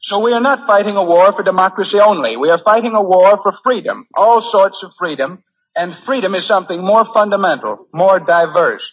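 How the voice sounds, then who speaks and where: A man's voice: high-pitched, lively intonation, fairly quick, breathy edge. An American man delivering an earnest, formal prepared speech as a war correspondent in a 1940s radio address. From United States. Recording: muffled, lo-fi 1940s radio recording.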